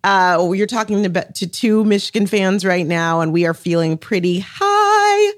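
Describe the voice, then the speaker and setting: A woman's voice: mid-range voice, animated, moderate pace. A woman hosting a podcast about selling on social media, enthusiastic and rambling with many restarts. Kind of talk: podcast chat.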